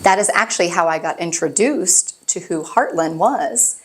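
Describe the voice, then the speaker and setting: A woman in her forties from Florida, an occupational therapist, answering interview questions in an upbeat, quick-witted way. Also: on the low side, slightly rough. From Florida, USA. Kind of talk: casual interview chat.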